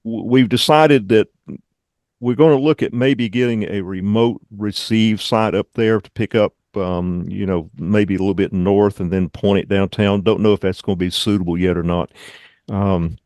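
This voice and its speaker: A man leading an online club meeting, giving informal updates in a halting, rambling, conversational way. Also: lowish voice, steady tone, a little mellow, dry recording, studio quality. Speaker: radio club meeting leader and presenter.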